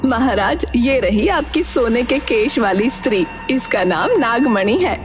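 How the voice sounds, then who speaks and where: A scheming hunchbacked woman from a storybook tale, acted out by a woman narrator. She pitches her voice high and speaks in a cunning, sweet-talking way. Music plays clearly behind her. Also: lively intonation, measured pace. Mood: obliging. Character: scheming hunchbacked woman posing as a loving aunt.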